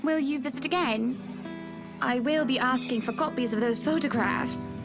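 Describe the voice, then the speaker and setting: A wealthy, flirtatious visual novel lady, speaks in a high voice. She is coy and haughty, with polished, formal phrasing. Music plays clearly behind her. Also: moderate pace. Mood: composed, polite. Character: flirtatious, wealthy, polished woman, wounded when refused. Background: game music.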